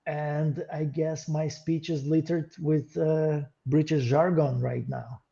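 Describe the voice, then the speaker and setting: A man from Western Ukraine, talking in a halting, hesitant way with many fillers, in a casual livestream chat. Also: a little high, level tone, gravelly, dark tone, highs cut off. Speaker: co-host.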